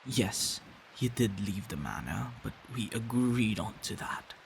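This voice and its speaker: A man playing a protective, tender vampire boyfriend in an intimate ASMR roleplay, hushed and halting, often slipping into whispers and sighs. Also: on the low side, expressive, creaky, a little hiss or hum, rough recording. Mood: remorseful, earnest.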